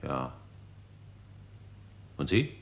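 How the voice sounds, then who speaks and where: An actor playing a wary, deferential manservant in a 1960s German crime radio play, speaking hesitantly. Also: male voice, extremely low pitch, big pitch swings, very slow, gravelly, a little hiss or hum, heavily acted.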